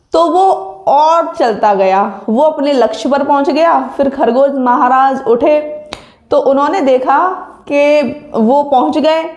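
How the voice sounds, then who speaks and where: Young woman, high voice, slow didactic delivery, informal coaching talk, frequent tag questions. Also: darkish tone. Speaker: exam-preparation coach and video host. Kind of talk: informal exam-update talk to viewers. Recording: echoey untreated room.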